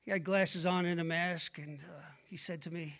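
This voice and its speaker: A man in his sixties preaching a sermon, speaking conversationally and growing hushed when he prays. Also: on the high side, fairly even intonation, moderate pace, creaky, lo-fi sound. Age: 60s. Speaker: pastor. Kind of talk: sermon with prayer and scripture reading.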